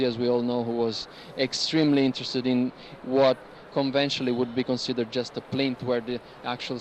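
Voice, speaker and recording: A man with a mid-range voice speaks as a curator leading an informal tour. He explains artworks to visitors in a conversational way. There is faint noise from a busy space behind him. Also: medium pitch. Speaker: curator guiding visitors through an art fair. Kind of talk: informal guided art tour talk. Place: large indoor art fair among gallery stands. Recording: noisy fair hall ambience behind voice. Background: busy fair hall background noise.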